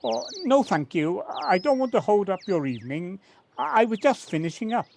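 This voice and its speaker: A woman's voice: mid-range voice, very animated, normal speed, darkish tone. A woman playing a modest, dutiful male gardener, hesitant and politely evasive, in an amateur audio drama. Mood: polite, reticent. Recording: faint noise, home-recorded on personal device.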